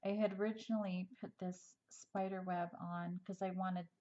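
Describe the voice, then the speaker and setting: Woman crafter, slightly hoarse, lower voice, rambling tutorial delivery, lo-fi home recording. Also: level tone, normal speed, dark tone. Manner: chatty, rambling, frequent ums and restarts. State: slightly hoarse, losing her voice. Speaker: crafter showing a handmade project. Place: indoors at a craft table.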